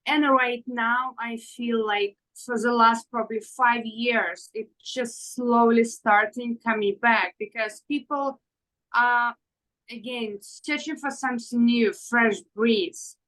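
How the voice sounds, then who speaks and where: A woman in her thirties from Ukraine, a fashion designer answering interview questions in halting with many fillers. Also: fairly high pitch, fairly even intonation, a bit bright, low-quality recording. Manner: halting non-native English with fillers.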